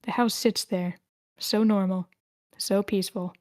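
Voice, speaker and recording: Woman narrating a script at a table read, medium pitch, no room echo. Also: fairly even intonation, unhurried, darkish tone, studio quality. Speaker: narrator reading stage directions.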